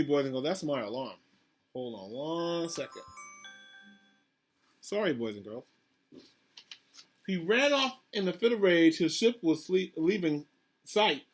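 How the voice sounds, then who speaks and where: Male storybook reader, school principal, somewhat high pitch, speaks directly to children, repeats and explains. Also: big pitch swings, unhurried, pauses often, soft background music. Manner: addresses children directly, asks questions, repeats himself. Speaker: school principal reading aloud. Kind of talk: children's picture-book read-aloud with asides.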